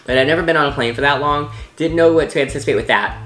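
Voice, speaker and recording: A man's voice: a little high, rapid-fire, fairly bright tone. A young American man talking casually to camera as a travel vlogger, rambling with lots of restarts and fillers. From United States. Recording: roomy echo with faint music.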